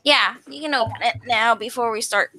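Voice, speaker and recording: Bright, high-voiced woman on a phone call. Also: a little fast, dry recording. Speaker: book club member. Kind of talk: casual chat. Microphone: video call on a phone.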